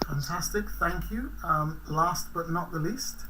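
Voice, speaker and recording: A woman moderates a panel over a video call. Her voice is low-pitched but bright and crisp. She sounds polite and welcoming, with faint background noise behind her. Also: measured pace, rough recording.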